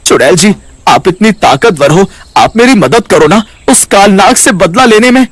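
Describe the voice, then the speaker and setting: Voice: mid-range voice, animated, moderate pace, breathy edge, no room sound. A performer voicing a small, sad male cartoon parrot, meek and grieving, with plaintive, respectful pleading. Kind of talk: Hindi cartoon character voice.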